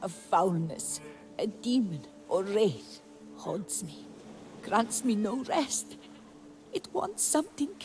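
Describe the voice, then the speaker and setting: An actress playing a haunted, fragile woman in a video game, speaking formally in a halting, anguished way. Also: mid-range voice, sing-song, darkish tone, faint music behind, rough recording. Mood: frightened.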